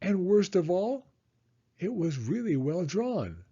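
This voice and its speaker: A man reading a children's story aloud in a theatrical, suspenseful way with drawn-out emphasis. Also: high voice, very animated, dark tone, breathy edge, dull audio.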